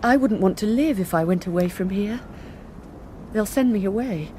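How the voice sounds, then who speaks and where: An actress playing an unstable young nun in a radio drama, intense and feverish, swinging from pleading to paranoid whispers. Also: female voice, mid-range voice, normal speed, dark tone, slight background noise, close to an everyday voice.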